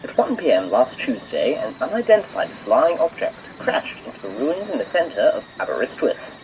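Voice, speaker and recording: A man's voice: mid-range voice, sing-song, moderate pace, a little mellow, a little hiss or hum, rough recording. A man playing a formal newsreader delivering a bulletin within an audio drama. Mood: formal, urgent. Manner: formal, reporting. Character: male radio newsreader reporting a crash, formal.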